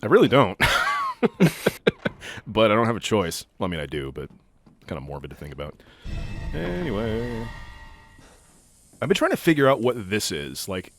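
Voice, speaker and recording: A man's voice: fairly low pitch, very animated, moderate pace, long pauses, soft background music. A man in his thirties from California, chatting loosely while playing a game, with plenty of fillers and casual swearing. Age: 30s. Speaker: co-host playing a video game. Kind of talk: casual chat while gaming.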